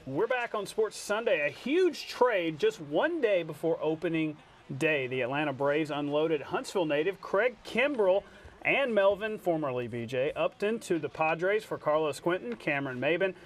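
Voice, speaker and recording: Higher-pitched man hosting sports show. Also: expressive, moderate pace. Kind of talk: sports broadcast with casual banter.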